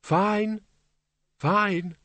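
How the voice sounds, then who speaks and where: Man doing a high, querulous old undead man. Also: steady tone, very slow, sharp tone, no echo, exaggerated voice. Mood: terse, wary. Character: frail old undead wizard man, mumbling, querulous but kindly. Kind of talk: audiobook character voice.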